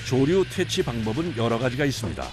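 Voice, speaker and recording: Guy narrating a doc, calm and informative, voice goes up and down a lot, music playing under him. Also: mid-range voice, quick pace. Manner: calm, even informative delivery. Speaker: documentary narrator. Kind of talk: scripted documentary narration.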